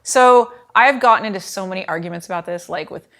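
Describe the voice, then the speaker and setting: A woman in her thirties hosting a casual cooking lesson in a home kitchen, chatty and animated, with lots of fillers. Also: medium pitch, brisk. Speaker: cooking show host.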